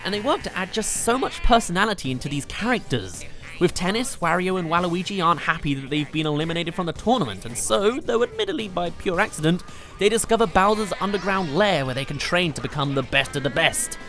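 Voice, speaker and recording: A man with a fairly high voice delivers scripted countdown commentary as a game reviewer. His delivery is animated and gushing, with informal phrasing. Game music plays clearly underneath him. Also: brisk. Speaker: video game reviewer and narrator. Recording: loud music behind the voice. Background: game soundtrack music.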